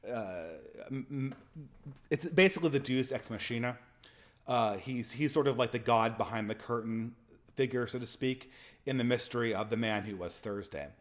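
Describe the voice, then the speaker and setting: A man with a nasal voice and a speech impediment, hosting a casual commentary monologue full of restarts and repeated words. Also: medium pitch. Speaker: channel host.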